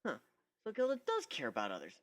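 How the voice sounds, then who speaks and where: A man's voice: a little high, wildly swooping pitch, fast. A man giving a sarcastic, theatrical first-person dramatic reading of a story. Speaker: narrator.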